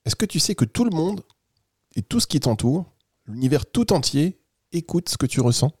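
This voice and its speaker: A man voicing a kind, reassuring talking squirrel from a children's tale, with a soft, gentle way of explaining things. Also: on the high side, very animated, normal speed, husky, no room sound, clean studio sound. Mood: earnest, reassuring. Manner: patient, explaining simply to a child.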